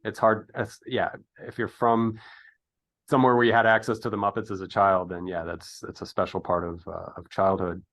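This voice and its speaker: Rambling low-voiced man in his fifties. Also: flat delivery, moderate pace, a bit bright. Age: 50s. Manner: rambling, tangent-prone. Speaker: interview host. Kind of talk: casual interview chat.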